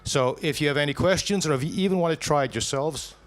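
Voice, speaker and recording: A Scottish man giving a live startup pitch to an audience, jokey and punchy, asking and answering his own questions. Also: quick pace. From Scotland.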